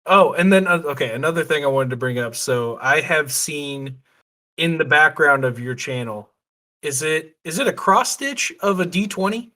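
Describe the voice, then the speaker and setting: Male podcast host, lighter higher register, casual and playful conversational delivery. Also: expressive, normal speed, sharp tone. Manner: slips into playful put-on voices. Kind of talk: casual chat.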